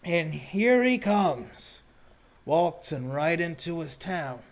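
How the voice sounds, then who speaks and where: Menacing town enforcer, acted by a male game master: cruel, scornful, deliberate and slow, slightly high male voice. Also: big pitch swings, heavily acted. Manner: slow, taunting, threatening delivery. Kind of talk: tabletop roleplay villain dialogue.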